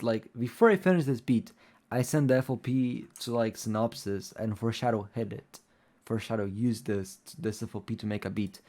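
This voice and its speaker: A young man whose first language is Spanish gives a casual music-production walkthrough. He wanders off track and fills gaps with "like" and "um", at a middling pitch for a man. His delivery is loose and chatty. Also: a little mellow. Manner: rambling, loose, many fillers like "like" and "um". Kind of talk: casual tutorial talk.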